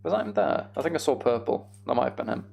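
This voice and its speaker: A young man giving casual, meandering gameplay commentary on a live stream, thinking aloud and chatting to viewers, with a creaky voice. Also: mid-range voice, animated, quick pace, a little mellow.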